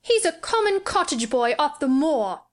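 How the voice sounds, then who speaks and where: A woman's voice: sits high, expressive, moderate pace, fairly bright tone, voice pushed up. A woman voicing a spoilt, sickly, self-pitying young boy, petulant and imperious, in a storybook reading. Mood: angry, sneering.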